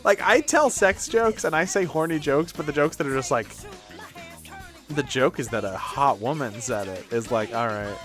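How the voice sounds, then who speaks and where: A man's voice: mid-range voice, animated, normal speed, a bit bright, faint music behind. A man livestreaming in a casual, blunt, sweary way as he reacts to videos. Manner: blunt, sweary, mock-exasperated. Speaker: streamer.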